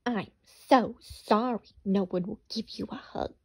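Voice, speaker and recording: Sly drawling male fox, slow and smooth with a quiet threat, as a woman puts him on. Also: medium pitch.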